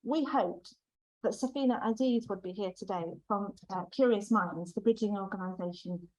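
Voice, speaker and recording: A woman with a squeaky voice chairing a meeting and presenting from a stage in a welcoming way. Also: normal speed, darkish tone, poor audio. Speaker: network chair. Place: theatre stage.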